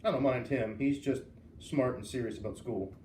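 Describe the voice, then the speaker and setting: A man's voice: mid-range voice, moderate pace, darkish tone, close to an everyday voice. Man as a grumpy schoolboy, echoey room. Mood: sullen, dismissive. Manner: blunt, dismissive. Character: grumpy, sullen schoolboy who hates school. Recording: roomy, distant mic, faint noise.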